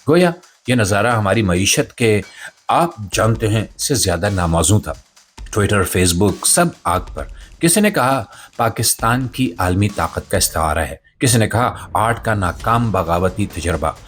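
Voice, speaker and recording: A man's voice: on the low side, expressive, unhurried, slight background noise. A man hosting a satirical commentary show, delivering a scripted monologue in a theatrical, mock-solemn way.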